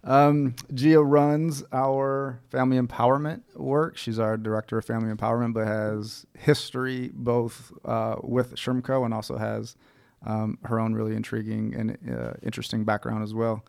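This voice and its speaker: A man hosting an interview, asking questions in an easygoing way with "um" and "uh" pauses. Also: medium pitch, flat delivery, creaky, darkish tone, clear, resonant tone, no echo. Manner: easygoing, with "um" and "uh" hesitations. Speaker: interview host.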